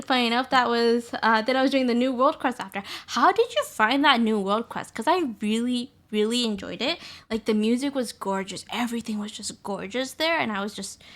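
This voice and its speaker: Bright-voiced upbeat woman streamer. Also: fairly high pitch. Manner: chatty and upbeat, greets viewers, laughs often. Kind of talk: casual live-stream chat.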